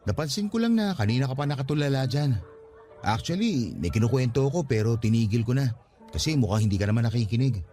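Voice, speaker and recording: A man's voice: mid-range voice, sing-song, fast talker, mellow, lightly acted. An actor playing an earnest, anxious young father in a Tagalog radio drama, speaking conversationally with many questions. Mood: concerned. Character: earnest, anxious young father proposing to his estranged partner. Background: music bed.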